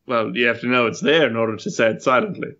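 A deadpan man with a New Zealand accent playing in a tabletop game. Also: medium pitch, fast, muffled recording.

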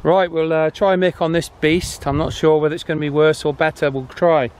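Chatty man outdoors, clearly noisy recording. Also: on the high side, little pitch movement, fairly quick, a little mellow. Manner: chatty, informal. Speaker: presenter testing handheld radios. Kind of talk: casual hands-on review. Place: open grassy field outdoors. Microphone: camera held at arm's length.

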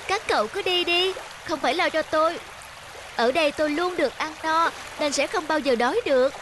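A woman voicing a vain, lazy young swan in an animated fairy tale, exclamatory and childlike. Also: high voice, brisk, background noise, lightly acted. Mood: smug. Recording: loud music behind the voice.

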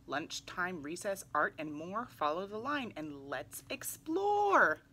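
A young adult woman reading a children's picture book aloud in an animated way, putting questions to young listeners. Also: fairly low pitch, slow. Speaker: read-aloud storyteller for children. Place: small indoor room, seated in armchair.

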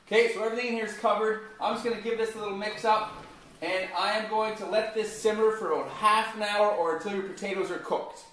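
High-voiced man cooking in an echoey kitchen. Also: steady tone, fast, fairly bright tone. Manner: chatty, explaining steps while talking to his toddler son. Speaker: home cooking show host. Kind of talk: casual cooking demonstration. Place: home kitchen, indoors. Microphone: camera mic at a distance. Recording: very echoey kitchen with faint noise.

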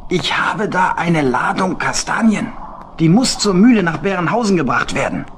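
An actor playing a secretive, well-off water-mouse boat builder in a children's audio play, hesitant and conspiratorial. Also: male voice, sits high, very animated, moderate pace. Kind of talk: German children's radio play acting. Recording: noisy old audio play record.

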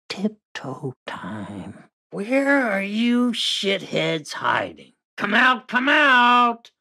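An actor playing a foul-mouthed, short-tempered killer doll in a video game, with a raspy nasal snarl and mock-playful menace. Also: male voice, high voice, bright, forceful tone, full character voice. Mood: sly, hushed, then teasing menace. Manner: menacing mock-playful taunting, heavy swearing. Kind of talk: video game character voice lines.

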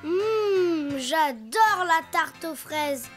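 A bright, very high little donkey boy for a cartoon, eager and curious with a lively chatter. Soft music plays behind him. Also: drawn-out words, exaggerated voice. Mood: cheerful.

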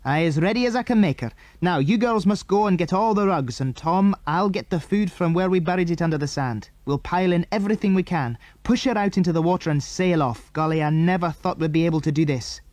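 Man playing bold, excitable boy leader, high-pitched. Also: brisk, hardly any pauses, no room sound, lightly acted. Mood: brisk, commanding. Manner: urgent, exclamatory, giving orders.

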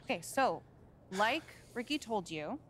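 A self-assured, bossy publicity manager with a mid-pitched voice. She lays out plans briskly and emphatically, in casual game cutscene style. A faint rumble sits underneath. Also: sing-song, fairly bright tone. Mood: businesslike. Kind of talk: video game cutscene acting.

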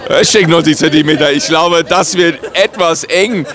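Guy with a high voice hosting a jokey little interview at a fair booth, rambly and self-mocking. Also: moderate pace, fairly bright tone. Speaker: vlogger interviewing at a trade fair stand. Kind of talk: joking casual interview.

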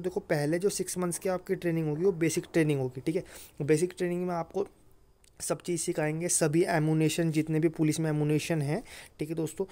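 Mellow man explaining informally. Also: on the high side. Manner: repeats check-in phrases to listeners. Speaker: host of an exam news channel.

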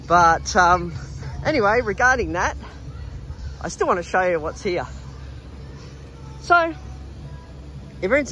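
A man's voice: high voice, sharp tone, poor audio. A man with an Australian accent giving casual, chatty, rambling narration as a travel vlogger walking outdoors. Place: outdoors in an urban riverside park. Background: background music, outdoor city sounds.

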